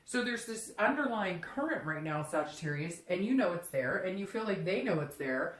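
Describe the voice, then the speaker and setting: A woman's voice: on the low side, big pitch swings, normal speed. A woman giving a casual, unscripted tarot reading straight to the listener, conversational and often slipping into imagined first-person quotes. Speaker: tarot reader hosting a reading. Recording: roomy, echoing room sound.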